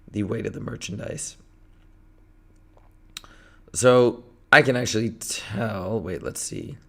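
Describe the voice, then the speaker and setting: A man with a hoarse, failing voice leads a live-streamed math tutoring session, talking through problems step by step with energy. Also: fairly low pitch. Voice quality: gravelly, strained. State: hoarse, losing his voice.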